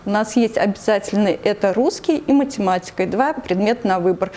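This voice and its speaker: A woman with a Tatarstan Russian accent, answering news interview questions in a measured way with frequent hesitations. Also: mid-range voice, mellow. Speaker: anti-corruption committee member, interview guest.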